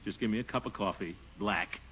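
An actor playing a pompous, easily flustered middle-aged city official in a 1940s radio comedy. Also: male voice, fairly low pitch, fairly quick, close to an everyday voice. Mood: blustering. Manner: flustered, blustering, mutters to himself. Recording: muffled old radio broadcast with hiss.

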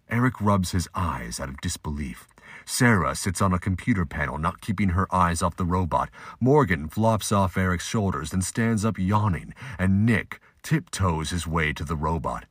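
A man's voice: low voice, fairly bright tone, no room sound, clean studio sound. A man giving scripted, audiobook-style narration for an audio drama.

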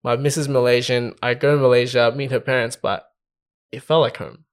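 A man's voice: medium pitch, steady tone, a little fast, clear, resonant tone. A man chatting casually and candidly, in an informal way with frequent check-in tags. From Australia. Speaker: podcast participant. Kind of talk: casual chat.

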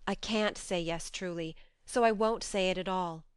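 Casting: female reader for frank, tender young heroine of a storybook, firm and earnest, crisp tone. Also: fairly low pitch, normal speed, no echo, studio quality, close to an everyday voice. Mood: solemn, insistent. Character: frank, tender but resolute young woman refusing a suitor. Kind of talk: audiobook character voice.

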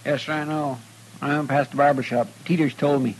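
A man's voice: on the high side, fairly even intonation, brisk, natural voice, poor audio. A man playing a folksy, anxious, deferential frontier sidekick with a rural American drawl in an old radio western, recorded with hum and noise. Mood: worried, curious. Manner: folksy, hesitant, eager. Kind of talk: old-time radio drama acting.

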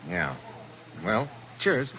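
An actor playing a tough, wisecracking American special agent in a hard-boiled 1940s radio adventure. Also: male voice, medium pitch, sing-song, drawn-out words, full character voice. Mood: casual, wry. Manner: wry, hard-boiled, casual. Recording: dull, noisy old radio recording.

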